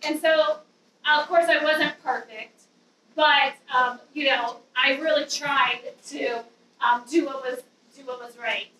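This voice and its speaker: A woman in her thirties nervously sharing a personal testimony to a youth group, with a loud voice and many ums. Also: high voice, steady tone, slow, a little hiss or hum. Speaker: guest speaker giving a testimony. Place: dim church youth room with an audience. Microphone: handheld mic, far camera. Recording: roomy hall sound from a distance.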